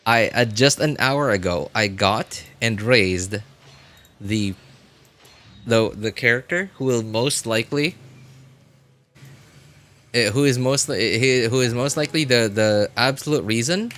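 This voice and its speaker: A man chatting casually as he comments on gameplay on a live stream, rambling and often correcting himself in a halting way. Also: medium pitch, fairly bright tone. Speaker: game livestreamer.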